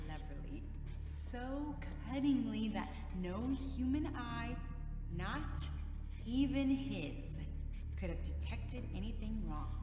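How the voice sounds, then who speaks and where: Woman acting crazed cunning killer telling a gothic confession, theatrical n insistent, big long reverb, loud music behind. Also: mid-range voice, close to an everyday voice. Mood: gleeful, cunning. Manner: theatrical, deliberate, insistent, repeating phrases for emphasis. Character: mad, furious, cunning killer narrating a confession of murder. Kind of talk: dramatic gothic audio drama monologue. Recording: echoey reverberant sound under loud music.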